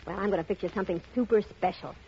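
A woman's voice: medium pitch, very fast, gravelly, warm tone, a little hiss or hum, natural voice. Woman doing slangy gun moll fussing over her man, cooing, muffled old radio sound. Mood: cooing, coaxing. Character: doting, coaxing tough gangster's sweetheart. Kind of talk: 1950s radio drama acting.

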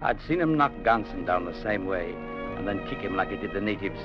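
An actor playing a steady, defiant company clerk, measured and matter-of-fact, narrating and acting in a 1950s radio drama. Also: male voice, low voice. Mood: grave narrating. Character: steady, defiant young company clerk telling his adventure. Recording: dull vintage radio broadcast, music behind.

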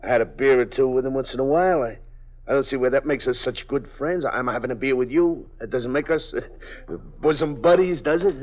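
An actor playing a nervous, evasive small-time parolee who stammers and pleads, in a 1950s radio drama. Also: male voice, mid-range voice, brisk, close to an everyday voice. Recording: dull lo-fi old radio broadcast.

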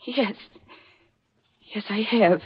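An actress playing a troubled, dreamy young granddaughter in a 1940s radio mystery, with a halting, pleading, dramatic delivery. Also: female voice, medium pitch, slightly rough, exaggerated voice. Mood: dazed, haunted. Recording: muffled old 1940s radio recording.

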